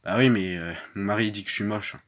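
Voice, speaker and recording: A man voicing a young battered wife who speaks softly and haltingly, repeating herself and trailing off. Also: lowish voice, natural voice. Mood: downcast.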